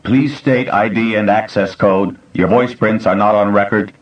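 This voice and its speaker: A man's voice: on the low side, steady tone, normal speed, echoey room, far from the mic, lo-fi sound, voice pushed up, close to an everyday voice. A man voicing an ancient computer system that speaks formally without inflection. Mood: formal, toneless. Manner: formal, toneless.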